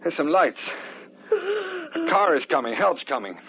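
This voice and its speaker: An actor in a 1940s-style radio drama playing a desperate, protective husband, urgent and melodramatic. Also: male voice, fairly high pitch, wildly swooping pitch, measured pace, exaggerated voice. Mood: shaken. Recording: dull, noisy vintage radio recording.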